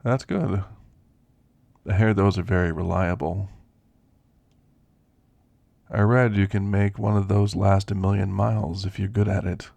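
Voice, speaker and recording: A man playing a kindly elderly werewolf in an ASMR roleplay, with a gravelly voice and a folksy, gently chatty manner. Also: on the low side, fairly even intonation, measured pace, pauses often, no echo. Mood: gentle, friendly. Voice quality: gravelly, creaky. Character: kindly, frail elderly male werewolf, teasing and grandfatherly. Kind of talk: ASMR roleplay, intimate character monologue.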